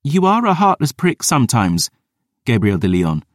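A man voicing a fierce young girl, earnest and rallying, with a sharp tongue. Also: mid-range voice, wildly swooping pitch, moderate pace, dry recording, studio quality, voice pushed up.

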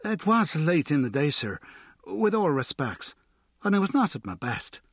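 A man's voice: big pitch swings, normal speed, fairly bright tone, slightly rough, dry recording, clean studio sound, full character voice. A man doing a nervous, wheedling street informant. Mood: pleading. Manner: pleading, servile, higher put-on voice.

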